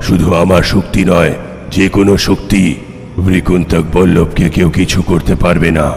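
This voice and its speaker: A man's voice: low voice, fairly even intonation, normal speed, vocal fry, darkish tone, faint music behind. A man playing a grave, all-knowing underworld guardian in a dramatic cartoon dub, speaking in solemn, foreboding instruction. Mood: solemn, warning. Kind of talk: dramatic dubbed cartoon dialogue. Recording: long hall reverb, faint noise.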